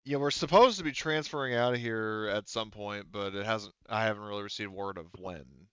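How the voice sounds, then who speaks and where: Man playing an easygoing female soldier, smooth and casual. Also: on the low side, fairly bright tone, no echo, lo-fi sound, lightly acted. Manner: halting, casual. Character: easygoing, dutiful female soldier stationed at a colony.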